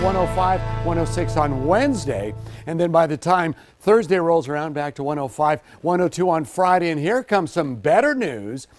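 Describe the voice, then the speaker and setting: An American man presenting a live morning TV weather forecast in a conversational, informal manner. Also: a little high.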